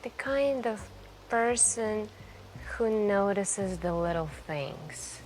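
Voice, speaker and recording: A woman playing a mysterious, charming stranger in a café, speaking softly and intimately in an ASMR roleplay with musing pauses. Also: mid-range voice, expressive, slight background noise, rough recording. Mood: musing, intrigued. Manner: slow, musing, gently flirtatious, pausing between phrases. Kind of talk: soft-spoken ASMR roleplay, intimate storytelling. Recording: voice over music and falling rain. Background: music and rain.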